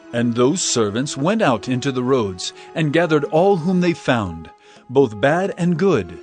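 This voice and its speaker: An actor playing Jesus as a calm, authoritative teacher in a dramatized scripture reading, with measured, solemn delivery. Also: male voice, on the high side.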